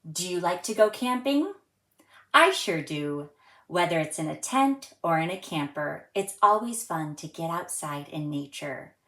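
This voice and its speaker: A woman hosting a children's story time, reading aloud and presenting to young viewers in an expressive, measured way. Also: low voice, roomy sound.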